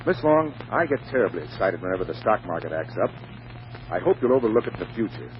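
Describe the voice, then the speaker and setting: A man's voice: lowish voice, big pitch swings, quick pace. An actor playing a formal, hesitant, apologetic businessman in 1940s radio comedy style. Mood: contrite, awkward. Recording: muffled, noisy vintage radio recording.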